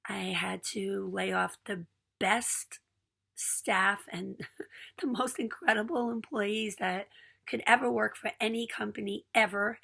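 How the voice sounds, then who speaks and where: A hoarse woman in her forties sharing a heartfelt personal message in a raspy voice, earnest and pausing with frequent ums. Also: medium pitch, fairly bright tone. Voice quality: gravelly, raspy voice. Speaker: small business owner.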